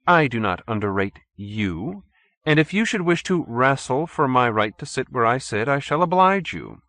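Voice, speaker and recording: A man's voice: mid-range voice, sing-song, normal speed, fairly bright tone, dry recording, pitched above the performer's own voice. A man voicing a haughty, urbane cult leader in an audiobook, pompous and sternly courteous. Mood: smooth menace. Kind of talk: audiobook character acting.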